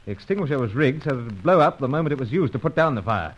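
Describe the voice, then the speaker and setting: An actor playing a cool, gentlemanly British secret agent who speaks and narrates with urbane, clipped manners in an old-time radio serial. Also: male voice, mid-range voice, expressive, rapid-fire, lightly acted. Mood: composed. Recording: dull lo-fi old radio recording.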